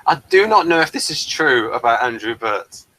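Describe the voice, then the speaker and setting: A man's voice: medium pitch, big pitch swings, moderate pace, bright, forceful tone, no room sound, rough recording. Guy hosting a casual review chat, mid voice, really dry sound no room. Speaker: host of a TV review show.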